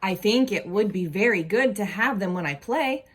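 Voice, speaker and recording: A woman reading a children's picture book aloud expressively, with casual asides and questions for young listeners. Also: medium pitch. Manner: animated, deliberate, asks the listeners questions.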